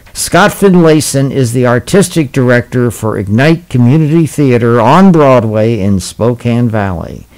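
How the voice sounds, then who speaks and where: A man's voice: medium pitch, a little mellow. A man giving a scripted announcement as host of a radio theatre programme. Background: faint music.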